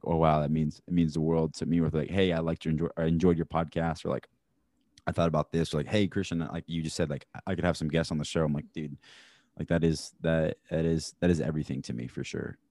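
Fast-talking deep-voiced young man. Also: mellow, dry recording, clean studio sound. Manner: chatty, enthusiastic, laughs often. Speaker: podcast host. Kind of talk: casual podcast chat.

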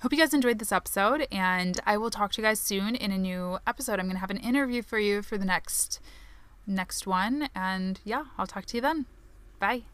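A woman's voice: medium pitch, moderate pace, a bit bright, no room sound. Chatty podcast host woman, tired voice. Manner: chatty, filler-heavy, upbeat. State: tired, strained voice. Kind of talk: solo podcast monologue on advertising.

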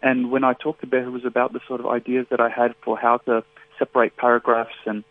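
A man's voice: mid-range voice, monotone, brisk, dry recording. A man whose first language is Farsi, speaking as a translator in a radio interview, thoughtful and full of fillers and restarts. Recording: phone-like line.

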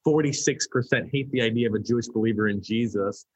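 A man's voice: mid-range voice, quick pace, breathy edge, poor audio. A young man with a Canadian accent, a ministry leader explaining his work earnestly in a casual interview. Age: young adult. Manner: earnest, explanatory, with frequent fillers.

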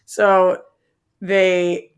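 A woman's voice: fairly low pitch, completely flat delivery, extremely slow, clean tone. A woman co-hosting a movie show, chatting in an informal, slangy way about films. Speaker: co-host of a movie news show. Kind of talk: casual movie discussion.

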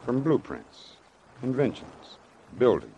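An actor in an old-time radio drama playing a weary, earnest former boxer who speaks plainly in short, halting sentences. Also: male voice, mid-range voice, wildly swooping pitch, dark tone, lo-fi sound, full character voice. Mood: guarded, earnest. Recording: noisy vintage radio broadcast recording.

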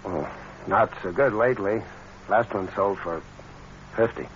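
An actor playing a breezy young traveling artist in a 1940s radio drama, casual but deferential. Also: male voice, lowish voice, measured pace, close to an everyday voice. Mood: breezy, pleased. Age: young adult. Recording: muffled old radio with faint noise.

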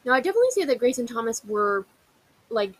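A woman's voice: a little high, big pitch swings, quick pace. A young woman hosting a history podcast, telling the story in a casual, chatty way, full of fillers and wry asides.

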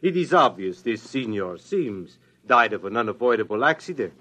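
An actor playing a courteous, formal town mayor in a classic radio drama. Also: male voice, mid-range voice, sing-song, moderate pace, pushed and bright. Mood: solemn, courteous. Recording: old classic radio recording.

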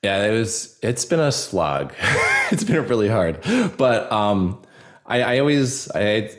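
A man speaking reflectively as a podcast guest, earnest and halting, with a self-deprecating way of talking. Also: on the low side, big pitch swings. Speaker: podcast guest, audio drama creator. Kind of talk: reflective interview conversation.

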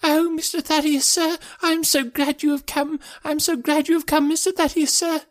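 A man's voice: very high-pitched, level tone, normal speed, voice pushed up. A man voicing a devoted, fretful old woman housekeeper in an audiobook, effusive, tremulous and deferential. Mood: relieved, effusive. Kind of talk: acted audiobook character dialogue.

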